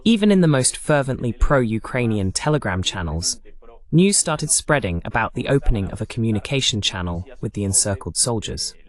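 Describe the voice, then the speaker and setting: A man delivers live news commentary as a host. His pitch swings widely and animatedly from phrase to phrase, and he drops in pointed, sardonic asides as he explains. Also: very animated, normal speed. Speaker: host of a political commentary broadcast.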